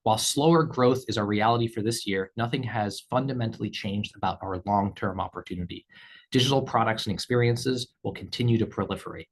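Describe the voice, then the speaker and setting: A man speaking as a company executive, reading scripted earnings call remarks in a measured, formal way. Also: on the low side. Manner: measured, formal read delivery.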